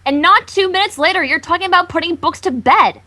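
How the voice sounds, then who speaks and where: A woman's voice: very high voice, fairly quick, sharp tone. A woman voicing a melodramatic, indignant young female pony in a cartoon fan fiction reading, overwrought and accusatory.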